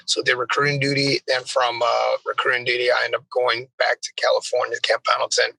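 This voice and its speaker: A man in his thirties whose voice is raspy and gravelly, worn rough from years of yelling. His tone is bright and tense, and he talks in a halting way, stopping and restarting often. The sound is dry and close. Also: medium pitch, monotone, dry recording, rough recording. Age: 30s. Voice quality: raspy, strained from drill yelling. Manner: halting, with many restarts and fillers. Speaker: guest. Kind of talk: casual interview chat.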